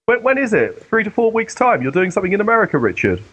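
A man from Denmark with a husky voice, chatting casually as a self-deprecating interview guest. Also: sits high, huge pitch swings, quick pace, a bit bright. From Denmark, has lived in the UK most of his life. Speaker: guest, paranormal researcher and theologian.

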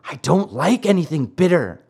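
A narrator voicing a whiny, stubborn little wooden boy marionette in a high, squeaky, childlike storybook voice. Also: sing-song, normal speed, creaky, a little mellow, breathy edge, heavily acted. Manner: whining, childlike, pleading.

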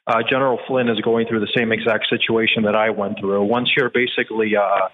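A man answering a radio host's questions by phone, earnest, pausing often on "uh". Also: lowish voice, little pitch movement. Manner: earnest, many "uh" pauses. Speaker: guest. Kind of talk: interview answers. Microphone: phone line. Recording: tinny phone line with faint hiss.

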